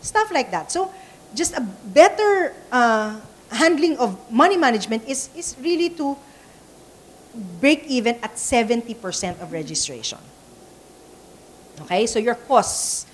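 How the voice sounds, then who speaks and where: A woman's voice: a little high, expressive, unhurried, slight background noise. A Filipina woman with an Ilonggo accent giving a conversational training talk, switching between English and Tagalog and repeating words as she thinks. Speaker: church event trainer.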